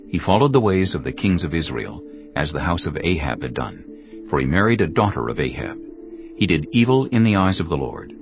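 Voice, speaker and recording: A man narrating scripture in a measured, solemn, scripted reading for an audio Bible. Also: deep voice. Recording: dull lo-fi audio with faint music.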